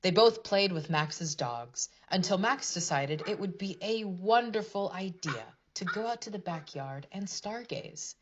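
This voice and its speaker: A woman's voice: medium pitch, expressive, measured pace, few pauses, muffled recording, background noise. A woman narrating a scripted storybook tale for a puppet show in a dramatic fairy-tale manner.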